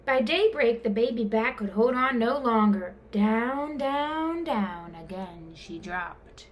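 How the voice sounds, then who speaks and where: High, animated woman storyteller. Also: slow pace. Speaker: storyteller reading aloud to children. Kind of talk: expressive children's storybook narration. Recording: clear background noise.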